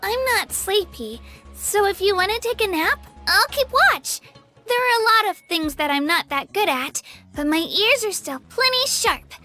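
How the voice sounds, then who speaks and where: A woman's voice: very high-pitched, lively intonation, moderate pace, bright, forceful tone, faint music behind, full character voice. A woman voicing a timid, earnest young girl miner in a video game, speaking sweetly and a little hesitantly. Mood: playful, friendly. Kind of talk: animated game character voice lines.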